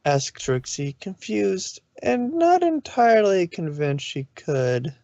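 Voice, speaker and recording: A man's voice: high-pitched, big pitch swings, slow, a little mellow, no room sound. A man narrating fan fiction from a script, on a dull, lo-fi recording.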